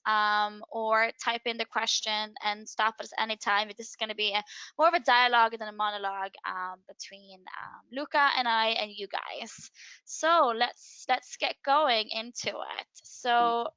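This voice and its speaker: A woman's voice: on the high side, bright, forceful tone, clear, resonant tone, dry recording. A woman with a Swedish accent co-hosting a webinar as a career advisor, talking conversationally with frequent ums. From Sweden. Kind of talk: webinar presentation. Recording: muffled dry lo-fi webinar audio.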